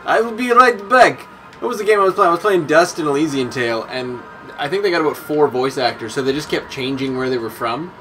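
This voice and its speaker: Excitable joking man playing a game. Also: a little high, a little fast, faint music behind. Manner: excitable, jokey exclamations. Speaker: let's play player. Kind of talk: casual gaming banter.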